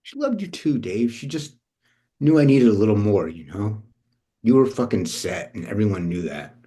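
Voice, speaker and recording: A man's voice: on the low side, animated, moderate pace, warm tone, natural voice. An actor reading the part of a laid-back, wounded, affectionate man who talks in loose, hesitant, sweary phrases at a script table read. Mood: wistful, affectionate.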